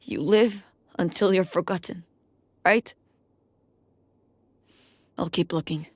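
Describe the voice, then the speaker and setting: Slow, brooding, haunted woman. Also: on the low side, dry recording. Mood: brooding, despairing. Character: driven, haunted female agent Fade, searching for someone lost. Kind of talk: voicemail, video game acting.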